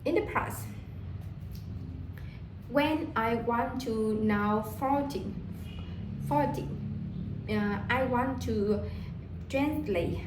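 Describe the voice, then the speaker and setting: A woman from Vietnam with a Vietnamese accent, talking casually in English about how she learns it, halting and often repeating words as she searches for them. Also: fairly high pitch, echoey room, noisy background.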